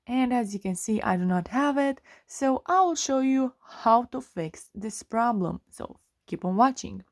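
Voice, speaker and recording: Woman doing a phone tutorial, highish voice, slow step by step, dry sound. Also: animated, no echo. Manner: instructional, step by step. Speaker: tutorial host. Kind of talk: scripted how-to narration for a phone screen tutorial.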